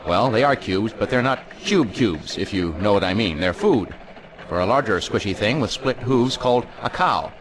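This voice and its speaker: A man doing a low, swooping cartoon news anchor. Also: animated, normal speed, background noise, close to an everyday voice. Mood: solemn, puzzled. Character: earnest, self-important cartoon TV news anchor for cube people. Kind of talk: mock news broadcast delivery.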